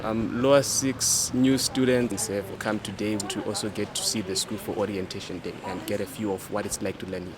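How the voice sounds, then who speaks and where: A man's voice: lowish voice, steady tone, moderate pace, hardly any pauses, crowd noise. An eighteen-year-old man with a Zimbabwean accent, a new student giving informal interview answers. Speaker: new sixth-form student being interviewed. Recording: clear outdoor background noise.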